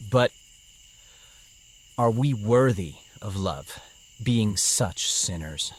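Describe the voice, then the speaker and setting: A man's voice: on the low side, animated, husky, full character voice. An actor playing a despairing, bitter young male farmer, brooding and heavy in an audio drama. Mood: anguished doubt. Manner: slow, brooding, heavy delivery.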